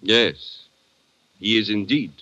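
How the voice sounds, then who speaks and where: An actor playing a formal, weary French shipping man in a vintage radio drama, with a French accent and measured, courteous speech. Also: lowish voice, pushed and bright. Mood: guarded. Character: formal, weary Frenchman running a colonial shipping line office. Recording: dull vintage radio recording.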